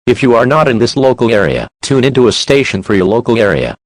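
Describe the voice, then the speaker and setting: A man playing an emergency alert announcer, reading a formal scripted warning in a stern, measured, official manner. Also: mid-range voice, sing-song, no echo. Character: solemn male emergency alert broadcast announcer.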